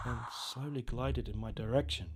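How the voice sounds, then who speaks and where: Man narrating a scary story, medium pitch. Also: noisy background. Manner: expressive, halting, acts out reactions. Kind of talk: dramatised first-person scary storytelling.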